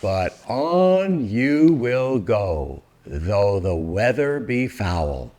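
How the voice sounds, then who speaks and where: An older man reading a rhyming children's book aloud in a theatrical, deliberate way, drawing out words for effect. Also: on the low side, big pitch swings, warm tone. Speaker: storybook reader.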